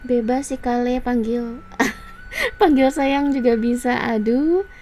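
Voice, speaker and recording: A woman with an Indonesian accent chatting casually and playfully on a game livestream, giggly and quick to react. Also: a little high, animated, a little mellow, slight background noise.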